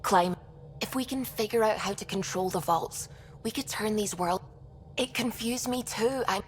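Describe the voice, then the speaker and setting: An actress playing an earnest, curious and sociable young science crew member in a video game, chatty with hesitant restarts. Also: female voice, medium pitch, normal speed, a little hiss or hum, lightly acted. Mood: thoughtful.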